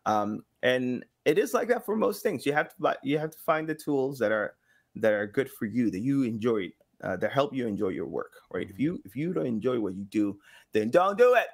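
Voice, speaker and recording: Guy on a podcast chatting away, jokey storyteller, really animated pitch. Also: fairly quick, gravelly. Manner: joking, expressive, hands-on storyteller. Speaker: podcast guest, game sound designer. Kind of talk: casual chat, telling anecdotes.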